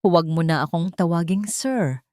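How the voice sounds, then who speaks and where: A grave, commanding father full of remorse, voiced by a woman. The voice sits low and speaks earnestly and haltingly, as in an audio drama. The sound is very dry. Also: warm tone, no echo, lightly acted. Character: remorseful, commanding billionaire father confessing and apologizing.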